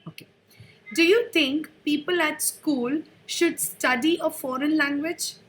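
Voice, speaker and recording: High-voiced woman examiner. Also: a bit bright, slight background noise, poor audio. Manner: brisk, formal questioning. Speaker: speaking test examiner. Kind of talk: formal exam questioning.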